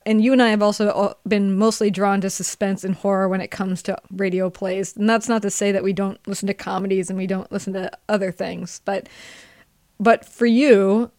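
A middle-aged woman speaks as a podcast host in an easy conversational interview. Her voice is mid-pitched and recorded very dry, with hardly any room around it. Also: flat delivery, normal speed, a little mellow, dry recording.

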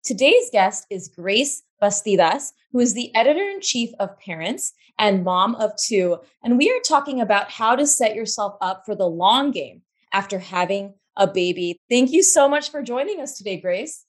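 A woman hosts a podcast interview with open enthusiasm. She speaks effusively and conversationally, in a mid-range pitch. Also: big pitch swings, moderate pace, few pauses, husky. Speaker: podcast host.

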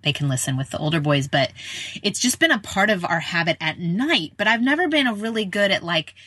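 A woman's voice: fairly low pitch, expressive, a little fast, fairly bright tone, slightly rough, no echo. A middle-aged woman hosting a podcast, chatting informally in a casual interview style. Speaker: podcast host, speech-language pathologist.

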